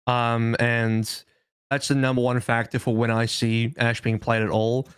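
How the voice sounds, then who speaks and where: A man's voice: mid-range voice, level tone, unhurried, clean tone, no room sound, studio quality. A man giving casual, opinionated analysis as an esports podcast co-host.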